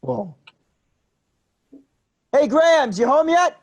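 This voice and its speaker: A man's voice: very high-pitched, big pitch swings, very slow, exaggerated voice. A man playing Bobby, a brash, blunt young man in a staged play reading. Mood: calling out. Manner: loud, offhand, needling.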